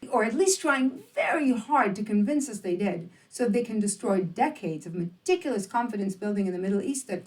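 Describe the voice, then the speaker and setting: A woman's voice: on the high side, a little mellow, recorded from a distance, low-quality recording. A woman delivering scripted opinion commentary in an emphatic, sardonic, rhetorical manner. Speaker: presenter, commentator.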